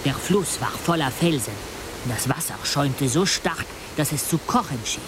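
An actor playing an earnest travel companion who recounts a jungle expedition in measured, literary radio play narration. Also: male voice, on the high side, animated, noisy background, natural voice. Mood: sombre.

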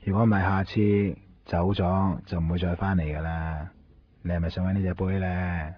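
A man's voice: low voice, little pitch movement, normal speed, close to an everyday voice. An actor playing a cocky, breezy, mysterious man with a Hong Kong Cantonese accent in a radio drama. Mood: breezy, reassuring. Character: cocky, easygoing, mysterious man who finds lost things. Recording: dull 1990s radio with music. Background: music.

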